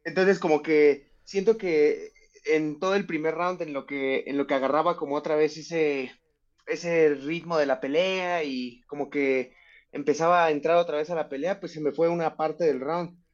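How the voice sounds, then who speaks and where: A Mexican man answers interview questions in a thoughtful, halting way, often restarting his sentences. His voice sits a little high. The audio is dull and lo-fi, as from a remote link. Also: steady tone. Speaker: guest fighter.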